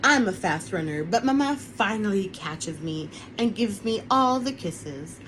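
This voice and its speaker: A woman's voice: medium pitch, animated, a little slow, a bit bright, a little hiss or hum, rough recording. A woman who is an author, reading her children's picture book aloud on an online webinar recording. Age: adult, parent of a young son.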